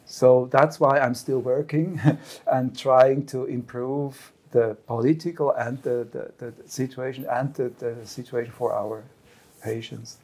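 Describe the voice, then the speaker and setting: Middle-aged male psychiatrist on a panel, mellow, earnest and reflective. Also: medium pitch, fairly even intonation, a little slow, husky. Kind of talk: panel discussion answer.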